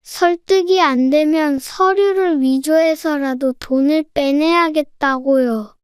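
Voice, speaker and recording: A woman's voice: sits high, slow pace, no echo, clean studio sound. A woman voicing a young grandchild who is innocent and anxious, speaking in small, hesitant phrases. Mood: earnest. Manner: small, hesitant childlike speech.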